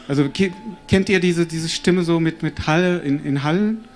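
A man performing spoken word on stage, talking directly to the audience with wide, animated swings of voice. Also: moderate pace, soft background music. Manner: animated, addresses the crowd directly, swings pitch widely. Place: stage before a live audience.

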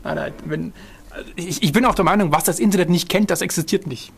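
A man's voice: on the high side, a little fast, gravelly, slight background noise. A man co-hosting a podcast in casual banter, with a playful, teasing manner.

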